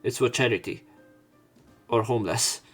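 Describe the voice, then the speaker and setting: A man's voice: medium pitch, normal speed, husky. A man narrating and reading dialogue aloud for a visual novel let's play, sometimes stumbling over words. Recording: game music behind voice. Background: visual novel game music.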